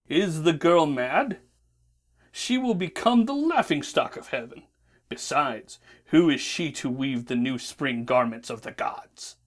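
A woman voicing a stern, commanding father god of light in a storybook, speaking slowly and solemnly. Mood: stern anger. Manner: slow, solemn, booming delivery.

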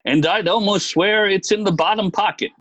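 A woman voicing a fussy, excitable man who blusters and mutters as he gets exasperated, in a storybook reading. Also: medium pitch, normal speed, no echo, voice pushed down, lightly acted. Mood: eager, flustered. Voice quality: husky, whining. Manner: blustering, muttering, oath-prone.

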